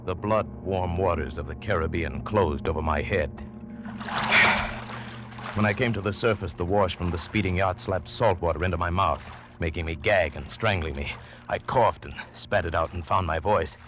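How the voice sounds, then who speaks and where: A man's voice: sits low, background noise, close to an everyday voice. An American actor playing a shipwrecked big-game hunter, earnest and intense, telling his ordeal in dramatic 1940s radio style. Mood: urgent, tense. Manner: dramatic, vivid, deliberate phrasing. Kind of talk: 1940s radio drama acting, first-person suspense narration. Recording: muffled 1940s radio recording, loud music.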